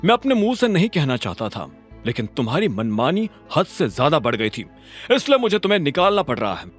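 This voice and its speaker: A man's voice: on the high side, big pitch swings, brisk, soft background music. A man voicing an arrogant, hot-tempered company manager in an audio drama, pompous and condescending. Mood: smug. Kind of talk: Hindi audio drama acting.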